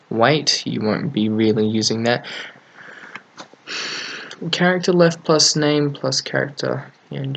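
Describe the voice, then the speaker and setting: Man, medium pitch, slow pace, casual software tutorial, thinking aloud, faint background noise with key clicks. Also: gravelly, rough recording. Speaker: tutorial host. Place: at a computer desk, screen recording.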